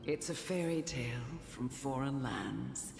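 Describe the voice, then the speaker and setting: A woman playing a calm, composed storyteller in a video game drama, gently formal and deliberate. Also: a bass voice, vocal fry, heavily acted. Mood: measured. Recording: echoey hall reverb, music underneath. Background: game music.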